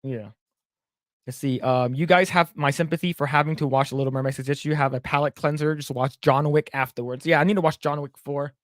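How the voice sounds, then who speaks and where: A man's voice: a little high, fairly even intonation, a little fast, no echo, clean studio sound. A young man co-hosting a podcast, chatting in a rambling, blunt way with casual swearing. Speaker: podcast co-host. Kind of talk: casual chat.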